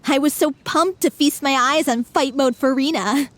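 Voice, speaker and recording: A woman's voice: high-pitched, normal speed, a bit bright, slight background noise. An actress playing a playful, confident young woman of a theater troupe who teases her friends, in a video game. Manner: teasing, upbeat.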